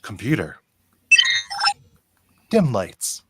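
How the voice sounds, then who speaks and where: A man playing a polite, curious android officer in an amateur radio play, formal and measured, with a husky voice. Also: on the low side, huge pitch swings, very noisy, heavily acted.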